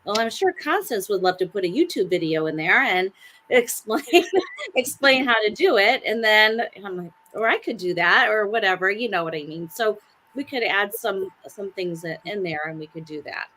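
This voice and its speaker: A woman's voice: medium pitch, animated, normal speed, bright, forceful tone, slight background noise. A woman on a casual group chat, steadily explaining plans and quoting the back-and-forth with others. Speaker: panelist on a sisters' chat show.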